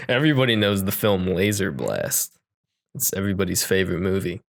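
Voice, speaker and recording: A man's voice: sits low, big pitch swings, moderate pace, gravelly, noisy background. A man co-hosting a podcast, chatting casually about movies in a playful, tongue-in-cheek way. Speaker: podcast co-host.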